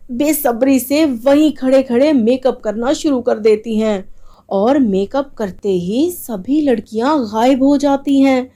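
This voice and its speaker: A woman's voice: high-pitched, dark tone. A woman storyteller reading a scripted fairy tale as narrator.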